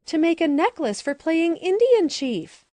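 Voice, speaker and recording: A woman voicing an excitable, mischievous little boy who chatters eagerly in an audiobook. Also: extremely high pitch, unhurried, dry recording, voice pushed up, heavily acted. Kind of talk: audiobook character voice.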